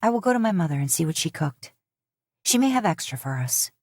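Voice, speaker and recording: A woman's voice: fairly low pitch, sing-song, brisk, darkish tone, no room sound, studio quality. A woman voicing a firm, earnest young wife. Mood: hard.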